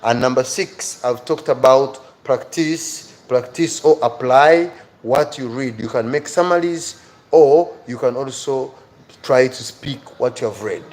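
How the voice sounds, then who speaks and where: A man's voice: mid-range voice, a little slow, darkish tone. A man with an African accent giving a motivational live lesson to viewers as their teacher, earnest and emphatic, repeating key phrases.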